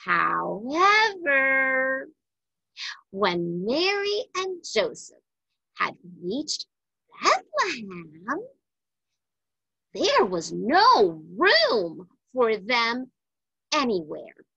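A woman reading a children's Bible storybook aloud in a deliberate, emphatic way for young listeners. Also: sits high, wildly swooping pitch, vocal fry, bright, forceful tone, slightly rough, no echo, muffled recording.

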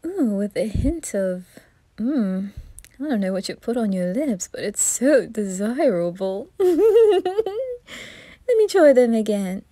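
A woman voicing a flamboyant, flirtatious male reaper in an ASMR roleplay, teasing and giggling, often slipping into breathy whispers. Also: medium pitch, sing-song, slow pace, warm tone, dry recording.

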